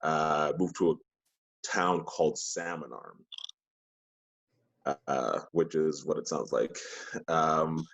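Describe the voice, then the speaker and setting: A man born in Ghana and raised in the United States, a guest on a video call, telling his story in a relaxed, rambling way. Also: a bass voice, slow pace, creaky, long pauses, no room sound. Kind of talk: casual video-call conversation. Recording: dull, dry video-call audio.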